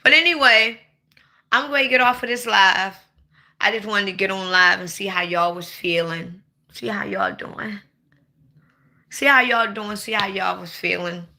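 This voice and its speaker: Woman, 40s, very bright sharp tone, blunt, playful, loud bursts. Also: mid-range voice, unhurried. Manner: blunt, playful, swings to sudden loud outbursts. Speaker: livestream host. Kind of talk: casual livestream chat.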